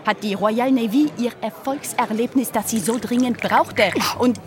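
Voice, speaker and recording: A woman's voice: fairly high pitch, moderate pace, crowd noise, close to an everyday voice. An actress playing a worldly, wry Frenchwoman with a French accent in a radio drama, chatty and knowing. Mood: cautioning. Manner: chatty, teasing, tag questions. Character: worldly, teasing Frenchwoman, wry pirate confidante.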